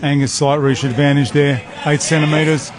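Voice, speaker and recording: A man's voice: a little high, little pitch movement, normal speed. A man giving informal fight commentary as an event commentator, speaking on a live mic. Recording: live mic amid very loud crowd. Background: loud crowd.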